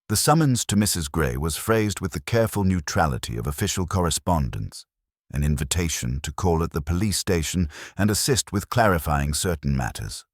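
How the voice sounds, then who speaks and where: A male narrator with an extremely deep voice reads a scripted mystery story. His delivery is measured and literary, yet the pitch moves expressively. The sound is dry and close. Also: no room sound.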